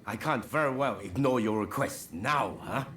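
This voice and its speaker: A man's voice: medium pitch, animated, normal speed, a bit bright, slight background noise, lightly acted. A man playing a frank, informal chief in a samurai video game, with a blunt way of talking. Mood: grudging respect. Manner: blunt, informal, plain-spoken. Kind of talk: video game cutscene acting.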